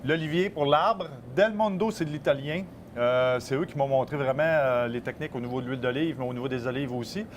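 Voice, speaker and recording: A Québécois man in his thirties describes his business with eager energy and plenty of fillers. His voice is at a plain middle pitch. Steady background noise sits under the recording. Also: fairly quick, hardly any pauses. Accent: Québécois French. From Québec. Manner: eager, many fillers, explains products. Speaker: olive business owner. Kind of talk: casual interview.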